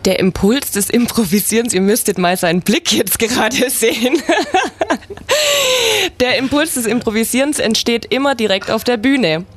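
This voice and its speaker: A woman's voice: fairly high pitch, moderate pace. Woman, improv club leader, chatty and enthusiastic. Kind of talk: radio interview.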